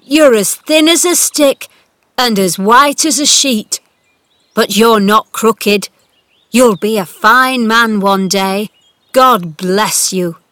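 An old gardener from a storybook, acted out by a woman, gruff on the surface and tender underneath. He speaks slowly and emphatically, full of feeling. The sound is close and dry. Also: medium pitch, animated, a bit bright, no room sound. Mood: tearful, tender. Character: cross old male gardener, gruff but tender-hearted.

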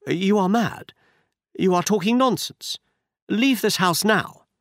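A man's voice: high voice, slow pace, dry recording, studio quality, pitched above the performer's own voice. A man voicing a proud, distressed young noblewoman in an audiobook reading, indignant and pleading.

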